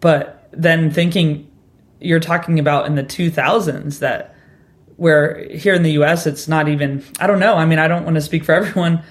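Higher-voiced man, rambling host. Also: fairly even intonation, normal speed. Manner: rambling questions, soft laughs. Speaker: podcast host. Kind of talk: conversational interview.

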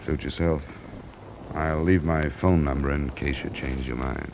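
Low, deadpan American radio detective. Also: male voice, measured pace, gravelly, heavily acted. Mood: firm, calm. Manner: clipped, deadpan. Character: hard-boiled, wry private insurance investigator. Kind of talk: 1940s-50s radio detective acting and narration. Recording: muffled, noisy old radio recording.

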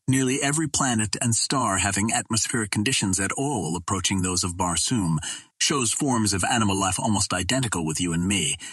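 A man's voice: fairly low pitch, a little fast, a bit bright, husky, no echo. A man voicing a proud, indulgent young Martian princess in an audiobook, speaking in formal, lofty sentences. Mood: indulgent, explaining.

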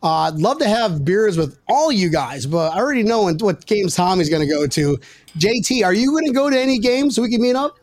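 A man's voice: sits high, lively intonation, a little fast, a little hiss or hum. A man hosting a casual sports podcast with jokey, self-deprecating banter and salty language. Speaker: podcast host.

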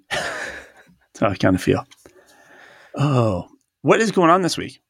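A man's voice: medium pitch, very animated, slow, fairly bright tone, slightly rough, no echo, clean studio sound. A man co-hosting an accounting podcast in casual chat, laughing often and a little stuffed up from allergies. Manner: chatty, laughs often, reads ads and quotes aloud. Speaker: podcast co-host. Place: recording studio.

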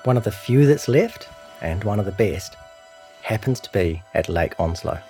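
A man with a New Zealand accent reading a scripted long-form news feature as narrator, in a measured, serious way. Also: lowish voice, expressive, mellow, soft background music. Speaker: narrator of a long-read journalism podcast.

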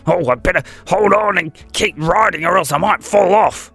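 A man's voice: high-pitched, moderate pace, vocal fry, a bit bright, husky, soft background music, voice pushed up, exaggerated voice. A man voicing a cheeky teddy bear who steals bikes in a children's story, stammering when flustered. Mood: flustered, alarmed. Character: cheeky teddy bear bike thief, defiant then sheepish.

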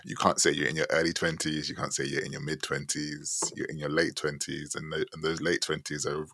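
A man in his late twenties interviewing a guest, asking loose, rambling questions in a relaxed way. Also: extremely low pitch, fairly quick, slightly rough, no room sound. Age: 20s. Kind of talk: conversational interview.

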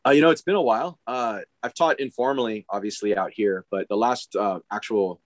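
Low-voiced man in his forties, halting. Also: dry recording. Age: 40s. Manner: rambling, halting, many fillers and restarts. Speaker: podcast guest, diver living on a boat. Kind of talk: casual interview chat.

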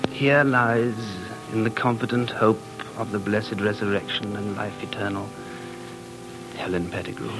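An actor playing an anguished, passionate time traveler in love, in theatrical 1940s radio drama style. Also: male voice, on the low side, natural voice, low-quality recording. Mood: somber, reflective. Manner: impassioned, theatrical.

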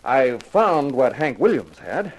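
A man's voice: medium pitch, sing-song, slow, husky, full character voice, low-quality recording. A man acting a cocky, sardonic private detective with flippant, slangy talk in a 1950s radio crime drama. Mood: knowing, accusing.

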